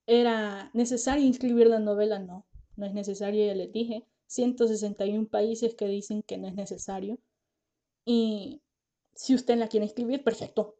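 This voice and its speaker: Tired lady podcaster, kinda high voice, soft mellow tone, weak r, dull muffled recording. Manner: earnest, reassuring, speaks directly to listeners; says she can't pronounce the R well. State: tired, finding it hard to talk. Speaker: podcast host, lawyer and author. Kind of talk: casual explanatory podcast talk.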